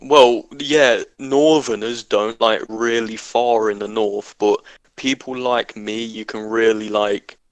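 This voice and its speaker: A man's voice: lowish voice, fairly even intonation, slow pace, no room sound, low-quality recording. A man from Britain chatting casually on a podcast, blunt, joking and sweary. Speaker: podcast co-host. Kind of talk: casual chat.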